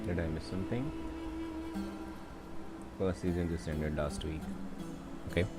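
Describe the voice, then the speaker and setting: A man's voice: low-pitched, steady tone, unhurried. A young man with an Indian accent chatting casually as he livestreams a game. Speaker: game streamer. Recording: game music behind the voice. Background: video game music.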